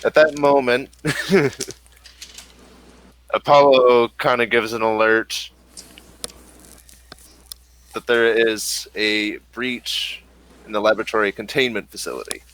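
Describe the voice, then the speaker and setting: A man as game master, narrating a tabletop game in a relaxed, hesitant way. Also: mid-range voice, slow pace, long pauses, poor audio.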